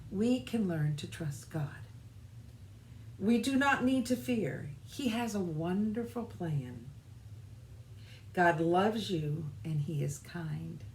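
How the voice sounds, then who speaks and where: A woman reading a children's storybook aloud in an expressive, deliberate way, as scripted narration. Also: lowish voice. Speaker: children's storybook reader, church music director. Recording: roomy echo, faint background noise.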